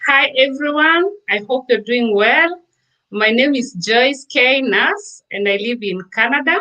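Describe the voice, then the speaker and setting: Woman from Kenya explaining calmly. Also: sits high, measured pace, poor audio. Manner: explanatory, with many fillers and restarts. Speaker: guest. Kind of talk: casual interview.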